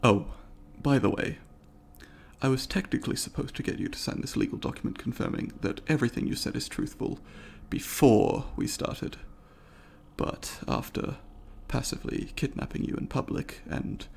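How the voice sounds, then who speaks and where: A man voicing a curious, courteous alien researcher in an ASMR roleplay, speaking formally and deliberately, with academic wording and a gravelly voice. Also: mid-range voice, a little hiss or hum, lightly acted. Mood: polite, reflective. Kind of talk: ASMR roleplay, scripted monologue to the listener.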